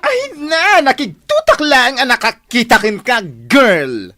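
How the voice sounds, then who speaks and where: Shrieky, gushing Ilocano gossip friend. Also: female voice, on the high side, wildly swooping pitch, sharp tone, exaggerated voice. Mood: excited shrieking. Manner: shrieking exclamations, gushing, drawn-out delivery. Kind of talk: exaggerated Ilocano radio comedy acting.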